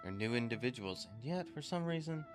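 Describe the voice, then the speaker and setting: Casting: male gamer commentator, lively varied pitch, reads along, game music underneath. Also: brisk.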